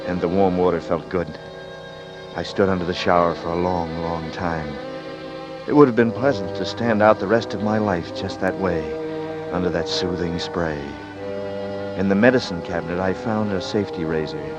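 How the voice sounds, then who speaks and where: An actor playing a weary, confused man on the run in a 1940s radio drama, talking haltingly and narrating his own story. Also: sits low, natural voice. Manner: hesitant, halting, bewildered. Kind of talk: 1940s radio acting with first-person narration. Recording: dull old radio recording with music behind.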